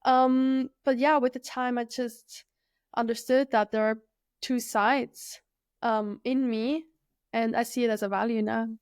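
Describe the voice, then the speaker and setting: Woman, early 30s, from Switzerland; relaxed podcast guest, crisp voice, dry close sound. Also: on the high side, unhurried, dry recording. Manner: relaxed, thoughtful, many fillers and "like". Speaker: guest, freediver. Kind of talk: casual conversational interview.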